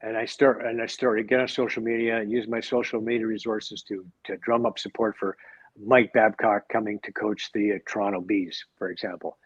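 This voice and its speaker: A man's voice: fairly low pitch, flat delivery, fairly quick, slightly rough, dry recording. Male host, eager rambling questioner, dry dull-toned recording. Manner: enthusiastic, rambling questions with repeated restarts. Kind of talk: casual interview chat.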